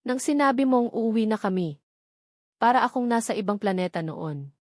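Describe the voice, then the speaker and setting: A woman's voice: fairly high pitch, lively intonation, moderate pace, no echo, clean studio sound, close to an everyday voice. A woman with a Filipino accent voicing a grateful, loving bride who speaks in heartfelt, sentimental lines in an audio love story. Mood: fond, reminiscing. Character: grateful, loving young bride, a former vendor.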